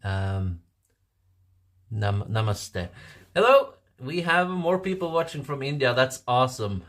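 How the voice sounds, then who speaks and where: A man in his forties with a Norwegian accent chats to his viewers in a loose, rambling way, often stumbling over his words and laughing. He talks slowly, in an ordinary mid-range voice. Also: mid-range voice, sing-song, fairly bright tone. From Norway. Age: 40s. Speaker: livestream host. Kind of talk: casual livestream chat.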